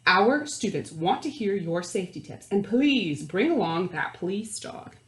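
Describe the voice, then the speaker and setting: A woman's voice: medium pitch, very animated, measured pace, reverberant. A woman voicing eager, polite school callers making an emphatic request in a children's storybook. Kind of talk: storybook read-aloud.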